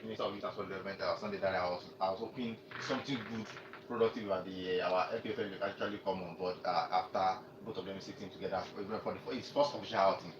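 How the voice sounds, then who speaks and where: A man's voice: sits low, fairly even intonation, racing, far from the mic. A Nigerian man talking as a panellist in a live sports radio discussion, wordy and hesitant. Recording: muffled roomy sound, clear noise.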